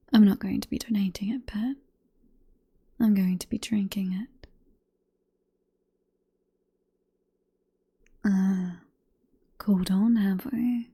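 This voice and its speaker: A British woman voicing a possessive, teasing vampire professor in an ASMR roleplay, in a soft, breathy whisper with a coaxing, unhurried manner. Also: medium pitch. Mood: sly, possessive. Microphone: close ASMR microphone.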